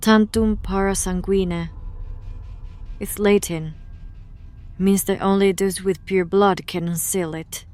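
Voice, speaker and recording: A woman's voice: medium pitch, fairly even intonation, slow, pauses often, darkish tone, clear, resonant tone, soft background music, close to an everyday voice. A woman acting in an audio drama as a guarded, wry young woman who knows occult rituals and explains them in an understated way. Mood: explaining, serious.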